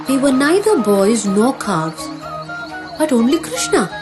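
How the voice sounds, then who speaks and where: A woman reads a scripted story for children as its narrator. Her voice is pitched a little high. Music runs clearly behind the narration. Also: big pitch swings, measured pace.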